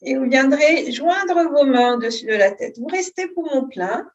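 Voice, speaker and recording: A woman teaching yoga, giving calm, unhurried and soothing guidance on posture and breathing. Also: sits high, lo-fi sound. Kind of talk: guided yoga and breathing instruction.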